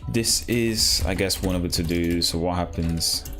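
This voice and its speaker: A man hosting a live coding stream, talking casually and haltingly as he thinks aloud and asks himself questions. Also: sits low, fairly bright tone, faint music behind. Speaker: livestream host, programmer.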